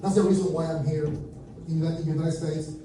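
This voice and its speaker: A man's voice: on the high side, steady tone, a little fast, dark tone, a little hiss or hum. A man in his thirties from Venezuela with a Venezuelan Spanish accent, Spanish his first language, giving a nervous, chatty live talk on a stage. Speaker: conference speaker. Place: large room with big windows, before an audience. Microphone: handheld mic, filmed by a far camera. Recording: echoey room from far off.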